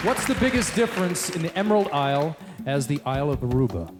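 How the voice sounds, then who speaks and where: A man's voice: on the high side, big pitch swings, quick pace. A man hosting a pageant, interviewing contestants on stage in an upbeat, announcing manner. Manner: upbeat, announcing names loudly. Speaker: pageant host. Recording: echoey arena with music and crowd.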